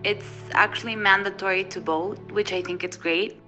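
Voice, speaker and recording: A woman's voice: medium pitch, fairly even intonation, normal speed, bright, forceful tone, dull audio, soft background music. A woman from Ecuador, a Spanish speaker, giving a casual personal account.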